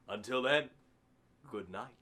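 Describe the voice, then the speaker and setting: An actor playing a macabre, punning horror host in a mock-sinister 1940s radio style. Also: male voice, fairly low pitch, takes it slowly, pushed and bright. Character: macabre, pun-loving horror show host with sinister chuckle.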